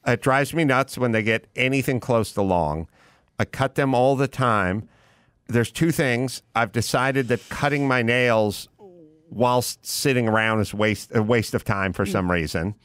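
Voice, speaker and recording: A man's voice: mid-range voice, unhurried, fairly bright tone, clear, resonant tone, no room sound. An American man in his fifties hosting a podcast, rambling comedically and ranting as he acts out quotes. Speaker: podcast host. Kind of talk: comedic rambling talk show banter. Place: podcast studio. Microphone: close studio mic with headphones.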